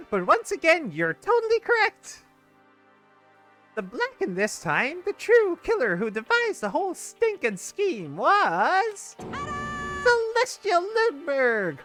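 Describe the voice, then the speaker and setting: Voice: extremely high pitch, a bit bright, full character voice. A voice actor playing a gleeful, mocking bear mascot in a video game, with a sing-song, theatrical delivery. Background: game music.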